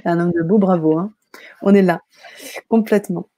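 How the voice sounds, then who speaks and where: Woman host reading chat comments, gravelly low-key voice, lots of euh. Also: fairly even intonation, moderate pace, no echo, muffled recording. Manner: warm, enthusiastic, hesitant filler-heavy reading. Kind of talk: hosting a live stream, reading viewer comments.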